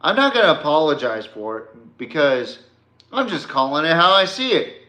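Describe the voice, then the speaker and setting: Blunt man with bright, echoey podcast sound. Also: a little high, sing-song. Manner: blunt, mocking, profane, halting with repeats. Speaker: podcast host. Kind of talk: opinionated sports podcast rant, informal.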